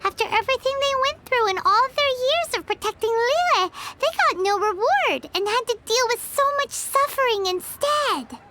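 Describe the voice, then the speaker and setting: A woman voicing a small, excitable female fairy companion who chatters about herself in the third person. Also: extremely high pitch, moderate pace, few pauses, heavy background noise. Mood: musing. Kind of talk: video game cutscene voice acting. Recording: game soundtrack music behind.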